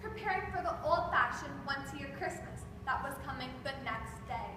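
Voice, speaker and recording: A woman's voice: sits high, animated, normal speed. A woman narrating a scripted story in a readers theater performance. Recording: echoey hall from far off, noisy.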